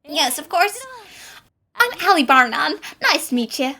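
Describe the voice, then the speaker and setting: Eager young cartoon heroine, played by a woman: high, lively, theatrical, exclaiming. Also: measured pace, exaggerated voice. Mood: friendly. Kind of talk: animated fan dub dialogue.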